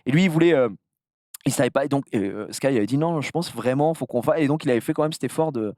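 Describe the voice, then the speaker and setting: A man from the south of France chatting casually as an interview guest, telling anecdotes in an animated, slangy way with some crude language. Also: medium pitch, quick pace, no room sound, studio quality. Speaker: streamer being interviewed.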